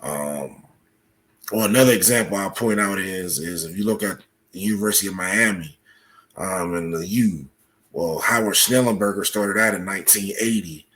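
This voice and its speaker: A man speaking as a podcast guest, explaining at length in a halting, deliberate way with many filled pauses. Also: low-pitched, fairly bright tone. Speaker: podcast guest, soccer coach. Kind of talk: conversational interview, explaining at length.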